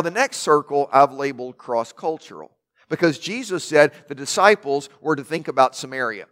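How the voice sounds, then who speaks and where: Loud man preaching a sermon. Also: a little high. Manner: emphatic preaching with rhetorical questions and building lists. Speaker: pastor.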